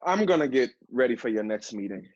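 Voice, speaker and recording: A woman's voice: a bass voice, expressive, brisk, darkish tone, muffled recording. A woman playing an exasperated, defiant assistant in a stage play, blunt in manner. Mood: curt. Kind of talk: theatrical one-act stage play acting.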